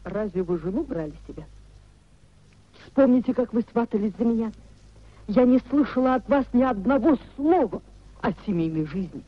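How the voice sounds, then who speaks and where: An actress in a 1950s Russian radio play as a nobleman's dignified, wronged wife, speaking in a measured, theatrical way. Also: fairly high pitch, animated, no echo. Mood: reproachful. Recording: dull, muffled 1950s radio recording.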